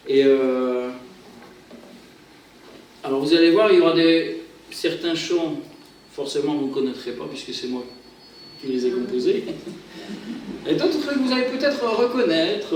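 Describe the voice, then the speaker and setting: A man's voice: mid-range voice, darkish tone, a little hiss or hum. A man from France, a pastor and guitarist, talking casually to a church gathering, chatty and self-deprecating with many hesitations. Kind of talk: informal church talk introducing music. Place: church hall. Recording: very echoey hall, far from the mic.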